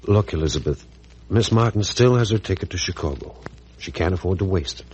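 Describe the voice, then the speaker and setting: An American actor playing an earnest, stubborn, troubled physician husband in a 1940s radio drama. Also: male voice, low-pitched, lively intonation, a little fast, darkish tone, highs cut off, slight background noise. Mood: insistent. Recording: old 1940s radio recording.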